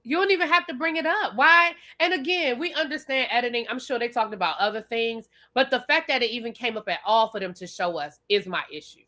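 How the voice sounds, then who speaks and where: A woman's voice: on the high side, expressive, a little fast, few pauses, sharp tone. A woman from Washington, D.C. co-hosting a casual TV recap chat, blunt and emphatic, pressing her points. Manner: emphatic, blunt, repeats points with rising exasperation. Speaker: podcast co-host.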